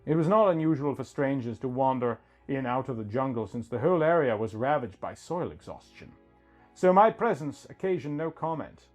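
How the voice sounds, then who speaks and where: A man's voice: medium pitch, animated, moderate pace, darkish tone. A man reading a scripted first-person story as its narrator. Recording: music bed under the voice. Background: music.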